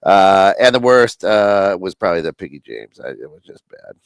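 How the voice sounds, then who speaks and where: A middle-aged man on a wrestling podcast, reminiscing in a halting way with many ums and uhs. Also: low voice, big pitch swings, vocal fry, clean tone, no room sound. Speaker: podcast co-host. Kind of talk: casual wrestling chat.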